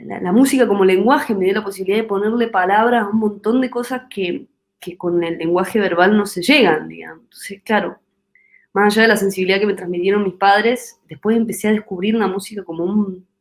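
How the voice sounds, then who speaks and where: Argentine woman musing thoughtfully. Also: medium pitch. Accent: Argentine Spanish. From Argentina, Córdoba. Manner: thoughtful, searching for words, many restarts. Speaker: guest singer-songwriter. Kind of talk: reflective interview talk.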